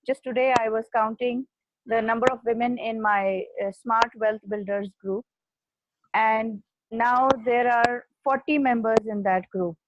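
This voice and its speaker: A woman from Pakistan with a Pakistani accent, an Urdu speaker, talking casually as a guest language trainer and filling her pauses with frequent "uh". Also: on the high side. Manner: unhurried, fills pauses with frequent "uh". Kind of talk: casual conversational talk. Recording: dull muffled audio with faint hiss.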